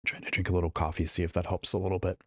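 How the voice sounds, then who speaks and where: A man's voice: sits low, level tone, racing, a bit bright, no room sound. A man hosting a casual live stream from a hotel room while losing his voice, chatting and greeting viewers one by one through a studio microphone. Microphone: studio microphone plugged into a laptop.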